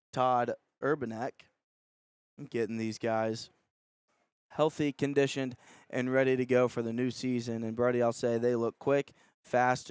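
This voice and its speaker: A man's voice: medium pitch, smooth, no echo, studio quality. A man doing live play-by-play commentary as a sports radio announcer.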